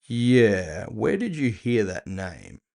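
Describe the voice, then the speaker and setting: A man playing a gruff, impatient cyberpunk bounty hunter, terse and sardonic, in an audio drama. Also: lowish voice, sing-song, slow pace, darkish tone, heavily acted. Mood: wary.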